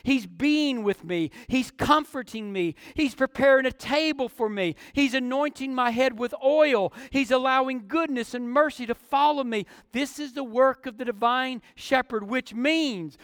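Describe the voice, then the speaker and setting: A man's voice: very high voice, unhurried, hardly any pauses, fairly bright tone, dry recording, clean studio sound, big-room sound. A man preaching an expository sermon, with emphatic phrasing and repetition for effect.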